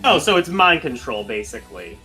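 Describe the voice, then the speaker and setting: A man's voice: medium pitch, sing-song, a little fast, loud music behind the voice. A man in casual chat, swearing freely and restarting his sentences often.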